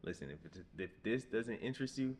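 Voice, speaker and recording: A man in his mid-twenties, talking casually to camera as a vlogger, rambling and repeating himself in a relaxed way. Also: lowish voice, fairly quick. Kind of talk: casual vlog talk to camera. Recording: echoey room with background noise.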